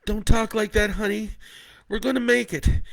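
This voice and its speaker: A man voicing a frantic middle-aged mother, shrill and pleading. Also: animated, measured pace, a little mellow, no room sound.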